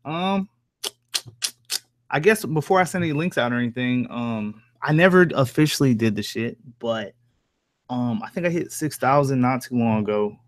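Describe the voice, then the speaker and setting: Man, soft voice, medium pitch, dry close sound, casual streamer chat, slangy, profane, rambling. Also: moderate pace, dry recording. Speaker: livestream host, horror story narrator. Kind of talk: casual livestream chat with viewers.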